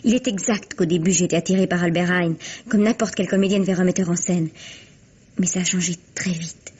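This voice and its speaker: An actress playing a proud, defensive young stage actress being questioned in a vintage radio mystery, haughty and articulate. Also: female voice, lowish voice, brisk, a little mellow, husky, lo-fi sound.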